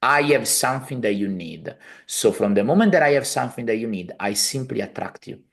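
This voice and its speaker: A man's voice: medium pitch, expressive, a little fast, gravelly, fairly bright tone. A man in his thirties with an Italian accent, explaining his healing practice conversationally as an interview guest on a video call. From Italy. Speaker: podcast guest, healer, family constellations practitioner. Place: living room at home, indoors, video call.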